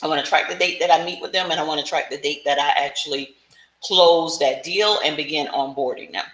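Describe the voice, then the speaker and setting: Bright-voiced woman coaching in an echoey room. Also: deep voice, a little fast. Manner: conversational, frequent check-in tags like "right?". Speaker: business coach presenting a livestream. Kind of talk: casual instructional talk to camera. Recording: roomy, echoing room sound.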